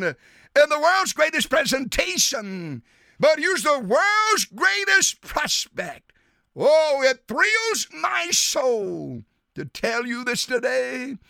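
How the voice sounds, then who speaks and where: A man preaching an impassioned evangelistic sermon on the radio, often shouting and drawing out key words, with repeated appeals to "dear sinner" and "my friend.". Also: very high-pitched, creaky, no echo, studio quality.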